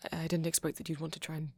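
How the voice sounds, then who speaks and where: Man acting as a soft, breathy, shy British vampire boy. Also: on the high side, completely flat delivery, no echo. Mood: shy, flustered. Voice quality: soft, breathy, often near whisper. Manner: stammering, halting, flustered and apologetic. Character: shy, nervous young male vampire on a date. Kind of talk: ASMR boyfriend roleplay, intimate acting.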